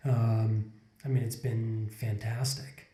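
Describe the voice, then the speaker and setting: A man in his forties talks through his thoughts on a podcast, circling back and restarting sentences as he goes. His voice is mellow and a little dark, and the indoor room adds a noticeable roomy echo around the desk mic. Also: on the low side, flat delivery, takes it slowly. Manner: reflective, rambling with many restarts. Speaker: podcast co-host. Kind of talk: casual conversation. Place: indoor room, sitting on a couch. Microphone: desk condenser mic in front of couple.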